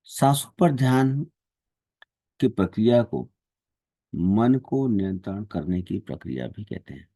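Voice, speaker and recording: A man leading a guided meditation and devotional prayers in a calm, measured, devotional manner. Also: lowish voice, no echo.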